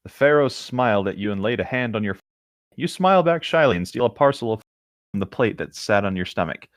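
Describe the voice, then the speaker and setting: A man's voice: on the low side, lively intonation, brisk, no room sound, studio quality. A man reading fan fiction aloud as a co-host, slipping into casual banter between passages.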